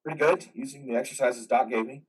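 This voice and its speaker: A man's voice: mid-range voice, fairly even intonation, quick pace, slightly rough, recorded from a distance, natural voice. A man playing a troubled, defiant war veteran in psychiatric care, earnest and naturalistic in a dramatic play reading. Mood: calmer, hopeful.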